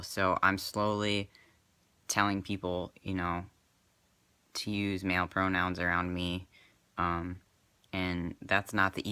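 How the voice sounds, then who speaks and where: Soft-spoken young man, hesitant and dry. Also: sits low, clear, resonant tone, no room sound. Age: 20s. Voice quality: slightly crackly. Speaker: vlogger. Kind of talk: casual personal vlog.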